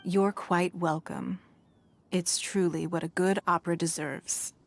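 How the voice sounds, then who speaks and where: A woman voicing a shrewd, wealthy female city leader who speaks in a measured, authoritative, formal way. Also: lowish voice, fairly even intonation, slight background noise. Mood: calm. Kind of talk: game cutscene voice acting.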